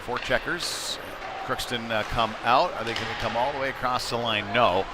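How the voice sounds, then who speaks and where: A man with a mid-range voice gives live hockey play-by-play in a conversational way that turns excitable on big plays. He is at an indoor rink, with clear arena noise and knocks behind him. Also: mid-range voice, moderate pace. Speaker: play-by-play commentator.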